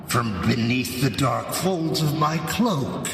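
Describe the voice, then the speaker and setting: An actor playing a scheming, vengeful nobleman who narrates a gothic radio drama with false courtesy, dramatic and deliberate. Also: medium pitch, expressive, music in the background. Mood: grave, confiding. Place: large church hall, live before an audience. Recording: echoing cavernous hall, noisy live recording.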